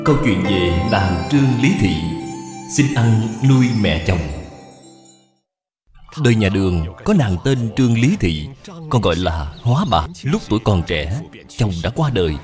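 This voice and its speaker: A man's voice: on the low side, big pitch swings, moderate pace. A man giving scripted, solemn storytelling narration. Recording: prominent music under the voice.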